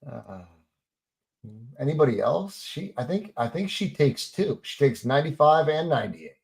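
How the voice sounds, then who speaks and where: A man co-hosting a casual live stream, teasing the viewers in quick banter. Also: fairly high pitch, very animated, gravelly, darkish tone, slightly rough. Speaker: co-host.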